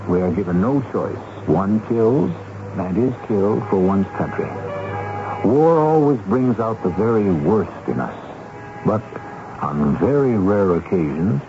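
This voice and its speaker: A man hosting a radio mystery series with theatrical, deliberate scripted narration and dramatic pauses. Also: fairly low pitch, sing-song. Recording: dull 1970s radio broadcast. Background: music.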